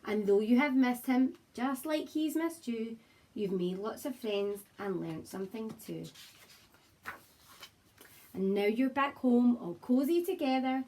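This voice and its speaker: A woman primary teacher with a slightly husky voice, talking to young children and reading a picture book aloud in an expressive, encouraging way. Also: fairly high pitch, a little slow, a little hiss or hum. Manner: expressive, encouraging, simple address to small children. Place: child's room at home, indoors.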